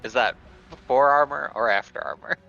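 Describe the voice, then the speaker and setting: A man's voice: medium pitch, fairly quick, vocal fry, pushed and bright. A man chatting casually as a player at a tabletop roleplaying game, on a somewhat lo-fi mic. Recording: dull lo-fi mic sound. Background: background music.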